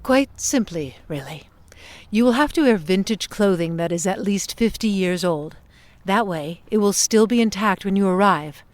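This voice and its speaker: An actress playing a composed envoy from the future, formal, precise and businesslike, in an audio drama. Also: female voice, mid-range voice, lively intonation, normal speed, no room sound, natural voice. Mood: precise, instructive.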